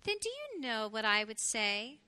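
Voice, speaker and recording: A gentle mother hedgehog from a children's storybook, voiced by a woman, tenderly putting questions to her little one. She speaks softly and evenly, in a high feminine register with a close, dry sound. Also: sing-song, no echo, full character voice. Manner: soft, tender, measured questioning. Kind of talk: children's storybook read aloud.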